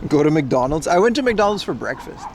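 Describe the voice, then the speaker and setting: A man's voice: a little high, sing-song, a little fast, a little mellow. A man livestreaming outdoors by a railway platform, chatting casually with viewers and teasing them in mock insults. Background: faint trains and city traffic.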